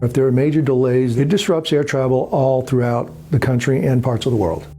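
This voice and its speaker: A man's voice: medium pitch, a little fast, dark tone, soft background music. A man in an interview, explaining air traffic control work in a matter-of-fact way.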